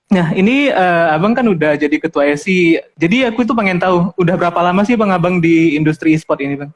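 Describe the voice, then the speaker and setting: A man's voice: sits high, fast talker. A man in his twenties hosting a podcast in casual chat, talkative and laughing often. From Medan, Indonesia. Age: 20s. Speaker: podcast host.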